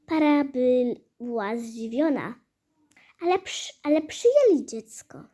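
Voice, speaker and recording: High-voiced woman telling a halting fairy tale. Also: animated, mellow. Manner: halting, hesitant delivery with stumbles and repeated phrases. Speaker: storyteller. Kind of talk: fairy-tale storytelling for a hobby audio play.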